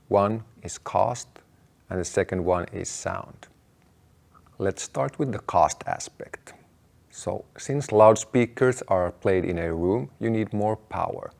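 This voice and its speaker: A man hosting an explanatory video, talking to viewers in a measured, deliberate way, laying out his points in short phrases. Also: lowish voice, creaky, a little mellow. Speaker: host of an audio hobby video channel.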